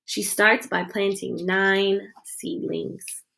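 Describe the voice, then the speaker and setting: A woman reading a children's picture book aloud with expressive storytelling delivery. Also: mid-range voice, slow, vocal fry, low-quality recording. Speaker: read-aloud reader.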